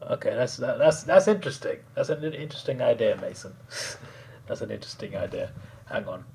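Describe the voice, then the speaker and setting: A man chatting casually on a live stream, hoarse, rambling and laughing often. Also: medium pitch, lively intonation, brisk, noisy background.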